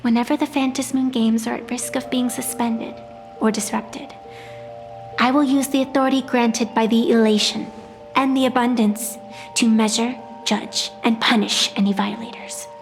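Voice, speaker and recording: Woman doing a sly old tree spirit lady, teasing and mocking, high-ish voice, long reverb with music behind. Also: fairly even intonation, moderate pace, natural voice. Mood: proud. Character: ancient sacred tree spirit, playful sly female overseer. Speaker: game character. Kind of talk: video game voice acting. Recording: game cutscene with reverb and music.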